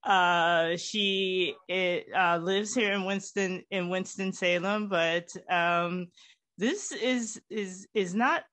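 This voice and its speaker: A host introduces a guest at a middle pitch, chatty and enthusiastic, with plenty of fillers and jokes at their own expense. The voice is heard over a video call. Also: fairly even intonation, slow pace, fairly bright tone. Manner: chatty, enthusiastic, self-deprecating, many fillers. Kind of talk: online church meeting introduction.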